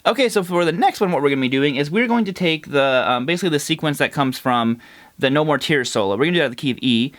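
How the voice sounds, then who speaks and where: A man's voice: fairly high pitch, expressive, quick pace, fairly bright tone. Congested man teaching guitar licks. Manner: congested, nasal; explains while playing, counts fret numbers. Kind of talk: casual guitar lesson.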